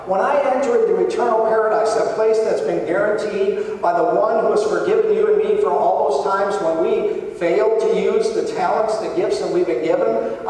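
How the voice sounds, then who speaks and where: A man's voice: sits high, darkish tone. A middle-aged man preaching a sermon from a church pulpit, earnest and emphatic. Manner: earnest, emphatic preaching with rising and falling intensity. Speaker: pastor. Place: large church sanctuary, at a pulpit. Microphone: far camera, pulpit mic. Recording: echoey church hall from far off.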